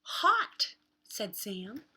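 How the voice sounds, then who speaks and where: Animated, bright-voiced woman reading to kids. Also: mid-range voice, extremely slow, slight background noise. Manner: exaggerated, animated delivery with dramatic word emphasis. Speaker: children's read-aloud host. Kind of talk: expressive picture-book read-aloud for young children.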